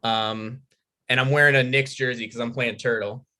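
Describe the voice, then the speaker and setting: Bright-voiced man reading stage directions. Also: mid-range voice, fairly even intonation, normal speed. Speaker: table read host, stage-direction reader and performer. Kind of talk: live comedy table read, narration and casual introduction.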